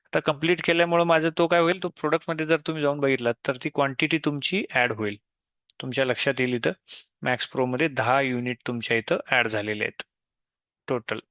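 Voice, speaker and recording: A man presents an unscripted software tutorial in a medium-pitched voice. He explains each step as he goes, asking himself questions and answering them. The recording is dry, with very little room sound. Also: steady tone, quick pace, dry recording.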